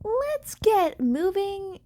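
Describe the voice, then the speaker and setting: A woman voicing a brisk, commanding, impatient female hero with a blunt, bossy manner in an audiobook. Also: very high-pitched, sing-song, mellow, pitched above the performer's own voice, full character voice. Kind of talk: fan fiction audiobook character acting.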